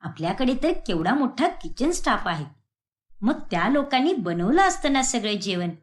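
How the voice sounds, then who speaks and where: A woman plays a doting older mother-in-law from a cartoon moral tale. She speaks with animated swings of pitch, affectionate and gently scolding, full of approval for her family. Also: normal speed. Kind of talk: cartoon moral-story acting.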